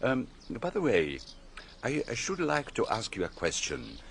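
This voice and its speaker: An actor playing a courteous, inquisitive retired detective in a radio drama, asking polite, probing questions with small hesitations. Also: male voice, on the low side, wildly swooping pitch, a little slow, gravelly, fairly bright tone, slight background noise, full character voice. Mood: polite, curious.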